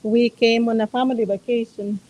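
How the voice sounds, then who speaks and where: A woman, a resort manager answering interview questions in a hesitant, halting way. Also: on the high side, fairly even intonation, dark tone, rough recording. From Costa Rica. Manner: hesitant, halting, with many fillers. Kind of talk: interview guest. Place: outdoor resort.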